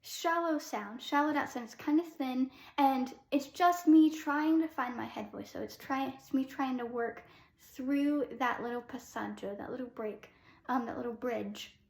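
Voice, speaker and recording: A young adult woman, a classical soprano, giving a relaxed vocal lesson in a chatty way with many ums. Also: high voice, unhurried, vocal fry, echoey room.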